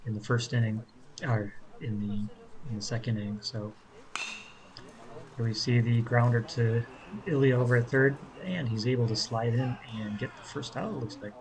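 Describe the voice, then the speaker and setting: A man who is a native English speaker, commentating an amateur baseball game in a relaxed, rambling way with many fillers, speaking as a coach. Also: mid-range voice, normal speed, noisy background. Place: ballpark. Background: crowd.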